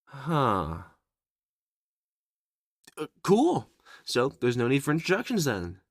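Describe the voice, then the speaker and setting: A woman voicing a bubbly, friendly, excitable young creature with an exuberant, chatty manner, in an ASMR audio roleplay. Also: rumbling low, slow, dry recording, studio quality, full character voice.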